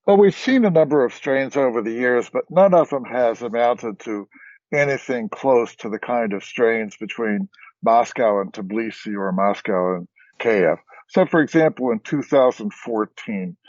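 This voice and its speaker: An older man, a former ambassador, speaking as a guest on a policy panel in a measured way, pausing often with "uh". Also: mid-range voice, lively intonation, a little mellow, no echo, dull audio. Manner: measured, many "uh" pauses. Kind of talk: expert panel discussion.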